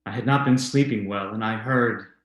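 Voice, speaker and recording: A man's voice: fairly low pitch, a bit bright, lightly acted. A man playing an earnest, haunted husband, roomy sound. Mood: awed, intense. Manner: halting, intense, formal theatrical delivery. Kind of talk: old-time radio drama acting.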